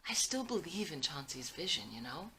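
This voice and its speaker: A woman reads an idealistic young dreamer in a film script, earnest and insistent. The voice sits slightly low but is bright and clear-edged. Faint noise runs behind it. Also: sing-song, normal speed, exaggerated voice. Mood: earnest, hopeful. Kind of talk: dramatic script reading.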